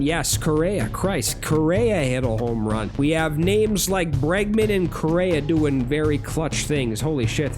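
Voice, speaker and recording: A young man, hoarse from shouting, giving excited play-by-play of a baseball video game with swearing and running commentary. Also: a little high. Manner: animated, swears, thinks aloud between plays. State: losing his voice. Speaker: gaming YouTuber. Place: small booth at home. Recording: game music playing behind.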